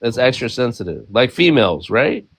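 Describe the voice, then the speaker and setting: A man's voice: mid-range voice, big pitch swings, normal speed, gravelly, breathy edge. A man in his thirties co-hosting a casual talk show, speaking frankly and loosely, with lots of profanity and "you feel me". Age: 30s. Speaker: podcast co-host. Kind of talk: casual chat.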